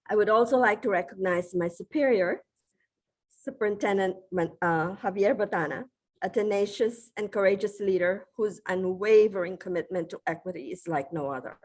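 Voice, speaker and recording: A middle-aged woman from the Philippines delivers a prepared acceptance speech. Her voice sits a little low for a woman, and she speaks in a measured, formal manner, now and then stopping to restart a phrase. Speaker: award recipient giving a speech.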